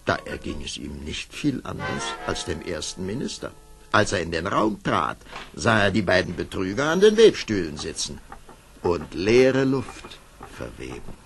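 A man's voice: sits low, wildly swooping pitch, normal speed, gravelly, faint music behind, exaggerated voice. A man narrating a fairy tale as a scripted storyteller for an audio play. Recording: old cassette, faint noise.

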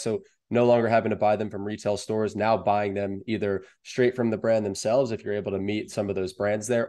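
A man's voice: fairly low pitch, a little mellow. A young American man giving explanatory advice as an interview guest on a video call. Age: young adult. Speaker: guest, online wholesale seller. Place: indoor room at home, video call.

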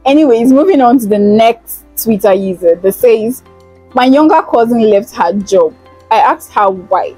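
A woman's voice: on the high side, a little slow, husky, no room sound, faint music behind, poor audio. Playful young woman hosting. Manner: playful, teasing, animated. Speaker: host. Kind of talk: chatty scripted gossip vlog. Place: indoor studio, plain backdrop. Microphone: lapel mic.

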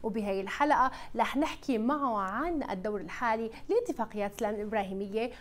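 A woman's voice: fairly high pitch, rapid-fire. A woman in her thirties from Syria hosting a television interview in a studio, with a Levantine accent. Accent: Levantine Arabic. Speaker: host.

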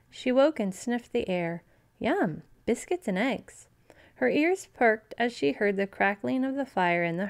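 A woman narrating a children's storybook in an expressive, scripted read-aloud style. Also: mid-range voice, clean tone.

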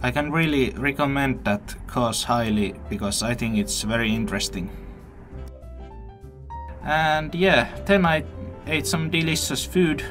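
Man, native Finnish speaker, student host, unhurried, filler pauses, faint music bed. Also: medium pitch. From Finland. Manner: relaxed, with many filler pauses. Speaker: student news anchor. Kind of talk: casual vlog narration and news hosting.

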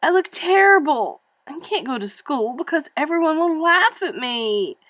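A woman's voice: high voice, slow pace, dry recording, pitched above the performer's own voice. Woman doing a sobbing teenage girl. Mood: tearful. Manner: pleading, on the edge of sobbing. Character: upset teenage girl, tearful and self-conscious.

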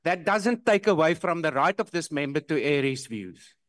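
Male presiding officer, high, dry voice, authoritative, keeps order. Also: sing-song, normal speed, fairly bright tone, dry recording. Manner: firm, authoritative, repeats rulings. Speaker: presiding officer chairing a session. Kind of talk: chairing a parliamentary debate. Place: large parliamentary chamber, indoors. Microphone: desk microphone.

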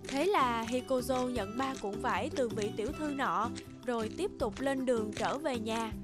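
A woman's voice: fairly high pitch, normal speed. A woman reading scripted fairy-tale narration as the storyteller, with music behind her.

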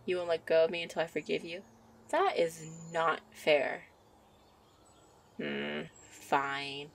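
A woman voicing a shy, sweet young fairy in an ASMR roleplay, with a soft, coy, flustered manner. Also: low voice, steady tone, takes it slowly, vocal fry, voice pushed down, heavily acted. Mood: pouting. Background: birdsong and outdoor ambience.

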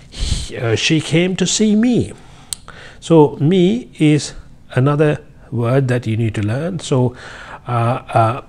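Husky-voiced man teaching English tips. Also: medium pitch, a little mellow. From Sri Lanka. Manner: encouraging, repetitive, with many fillers and restarts. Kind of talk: casual teaching monologue to camera. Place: high-rise office by a window, indoors. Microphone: lapel mic.

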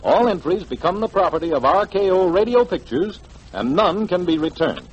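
High, animated American 1940s radio announcer man. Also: normal speed, husky. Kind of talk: 1940s radio announcing, scripted contest promotion. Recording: dull 1940s radio broadcast.

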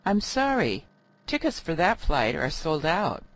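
A man's voice: a little high, wildly swooping pitch, measured pace, fairly bright tone, full character voice, poor audio. A man playing a polite airline ticket agent in a scripted lesson dialogue.

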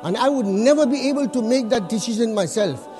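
High-voiced imam preaching earnestly. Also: male voice, expressive, a little mellow, faint music behind. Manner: earnest preaching, Arabic quotations recited quickly, repeats phrases for emphasis. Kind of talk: Friday sermon.

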